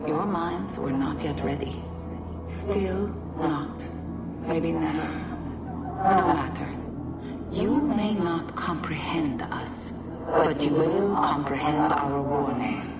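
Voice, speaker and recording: Slow, low-voiced ancient goddess, echoing. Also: female voice. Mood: condescending, grave. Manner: slow, grave, formal oratory with deliberate pauses. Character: ancient goddess-like female precursor, solemn, imperious prophetess. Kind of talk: scripted dramatic game cutscene monologue. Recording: echoing, distant, over loud music.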